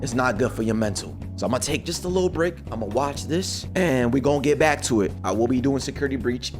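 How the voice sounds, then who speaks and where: A young man hosting a reaction video in casual, slangy chat, animated and repeating himself when excited. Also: medium pitch.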